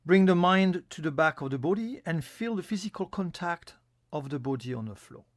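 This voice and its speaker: Calm man guiding relaxation, dry close sound. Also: fairly high pitch, moderate pace, no echo. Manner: calm, soothing, instructional delivery. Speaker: yoga nidra meditation instructor. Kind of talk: guided relaxation meditation.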